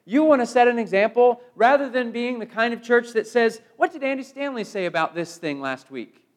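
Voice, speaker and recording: A middle-aged American man delivers a sermon in a notably high-pitched voice. He is emphatic, leaning on repetition and rhetorical phrasing, and shifts between forceful proclamation and quieter, hushed phrases. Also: animated, fairly quick. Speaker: preacher.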